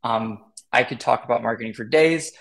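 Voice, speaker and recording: A man's voice: medium pitch, a little fast, vocal fry, a bit bright. A man in his thirties, a marketing educator, talking casually on a video call with lots of fillers and restarts. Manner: rambling, many fillers and restarts. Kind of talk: casual interview chat.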